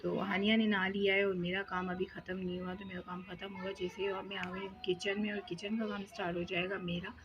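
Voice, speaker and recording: A woman's voice: medium pitch, level tone, fairly quick, hardly any pauses, fairly bright tone. Chatty woman vlogging her housework. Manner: chatty, rambling, many fillers. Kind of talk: casual home vlog narration. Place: home during housework.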